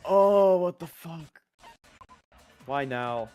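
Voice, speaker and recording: A man's voice: on the high side, animated, drawn-out words, dark tone. A man co-commentating on a game stream in a casual, blunt and sweary way. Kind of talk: casual commentary, reads game text aloud. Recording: music playing behind the voice. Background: video game music.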